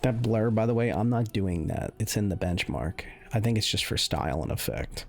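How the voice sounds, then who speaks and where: Low, gravelly-voiced man commentating. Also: animated, moderate pace, a little mellow. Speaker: gaming video host, commentator. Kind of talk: casual off-the-cuff commentary over game benchmark footage. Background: music under the voice.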